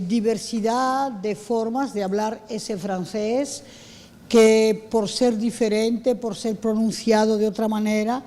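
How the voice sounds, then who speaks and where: A woman's voice: a little high, warm tone, big-room sound. A woman interprets a talk for an audience, hesitating often with fillers. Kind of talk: summarizing translation for an audience. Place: lecture hall.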